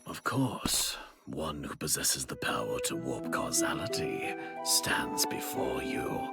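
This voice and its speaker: An actor playing a proud, disdainful overlord in a video game, with a breathy gravelly voice and formal, pompous speech. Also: male voice, a bass voice, animated, over loud music, heavily acted. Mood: haughty. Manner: pompous, formal, deliberate pronouncements. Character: proud, disdainful dark overlord, archaic and contemptuous. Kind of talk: dramatic video game voice acting.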